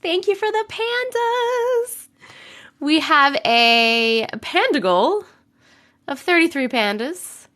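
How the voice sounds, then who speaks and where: A young adult woman live streaming, chatting with viewers and reading stories, her voice a little rough from a cold. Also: extremely high pitch, animated, takes it slowly, fairly bright tone. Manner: chatty, greets viewers by name between sentences. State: voice a bit rough from getting over a cold. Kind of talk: casual live stream chat and story reading.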